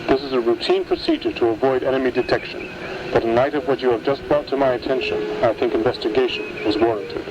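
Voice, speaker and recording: A man's voice: medium pitch, sing-song. Man playing veteran admiral on noisy comms link. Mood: grave, businesslike. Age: older. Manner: measured, formal. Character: seasoned, authoritative male space fleet admiral, calm old warhorse. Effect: comms transmission filter. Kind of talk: audio drama acting. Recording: dull, noisy radio transmission sound.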